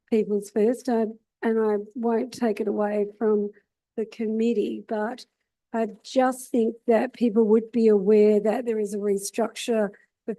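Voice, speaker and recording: A woman's voice: on the high side, warm tone. A woman from Australia, a councillor speaking in a council meeting debate, with a groggy voice and an earnest, hesitant manner.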